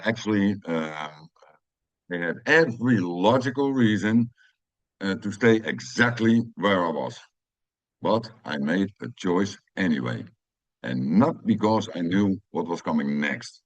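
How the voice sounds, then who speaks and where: A man's voice: low-pitched, dry recording. A middle-aged Dutch man with a Dutch accent, speaking English as a second language in a reflective personal monologue, hesitant with many fillers and restarts. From Netherlands. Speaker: podcast host.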